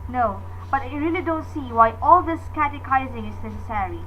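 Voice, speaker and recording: A woman's voice: high voice, expressive, a little fast, dull audio, faint music behind. A woman playing a panicky, demanding, self-pitying invalid wife in a radio thriller, fretful and imperious. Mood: demanding, upset. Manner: imperious, fretful, breathless pleading.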